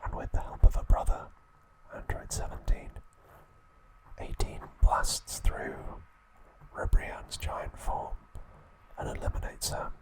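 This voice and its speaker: Slow, whispering ASMR man. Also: rough recording. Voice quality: soft, breathy whisper. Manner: gentle, soothing, unhurried delivery in short phrases. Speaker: ASMR channel host. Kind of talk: whispered ASMR trivia narration and sign-off.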